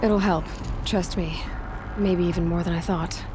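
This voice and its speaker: Woman playing a young hunter, direct, wry, slightly low voice, game ambience. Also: fairly even intonation, unhurried, noisy background, natural voice. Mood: determined. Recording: game cutscene with clear background ambience.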